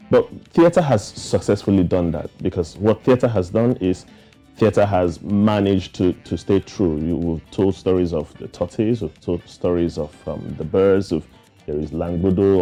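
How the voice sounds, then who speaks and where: A man's voice: sits low, warm tone. A man with a Nigerian accent giving thoughtful, emphatic answers as an interview guest. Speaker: guest theatre practitioner.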